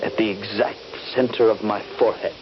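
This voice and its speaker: An actor playing a trapped, wounded Union soldier, fearful and halting, in a 1970s radio drama. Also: male voice, lowish voice, fairly even intonation, close to an everyday voice. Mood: mounting dread. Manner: halting, dramatic, intense delivery. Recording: noisy, dull 1970s radio drama recording.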